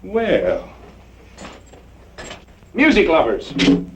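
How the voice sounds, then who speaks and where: An actor playing a swaggering, mocking Western gunslinger with a folksy drawl, in the style of old-time radio drama. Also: male voice, on the high side, huge pitch swings, extremely slow, dull audio, background noise, full character voice. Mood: mocking, easygoing. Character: swaggering, taunting Western gunslinger drifter who sings.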